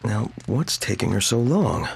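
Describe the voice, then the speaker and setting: A woman doing a gravelly gentle teacher man. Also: a bass voice, sing-song, a little slow, pitched below the performer's own voice, full character voice. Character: gentle grown man, children's caretaker.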